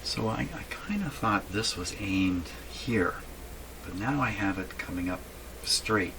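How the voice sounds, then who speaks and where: A man giving unscripted, halting commentary as he thinks aloud while doing hands-on repair work. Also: lowish voice, lively intonation. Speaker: radio repair hobbyist narrating a workshop video. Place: indoor radio repair workshop. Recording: clearly noisy workshop recording.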